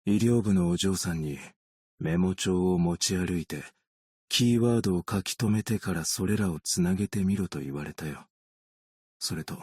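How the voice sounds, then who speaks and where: Casting: a long-lived, world-weary male optician in a game; deep husky voice, understated, gently melancholic delivery. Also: no room sound, studio quality. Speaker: video game character voice actor. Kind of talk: scripted game character voice lines.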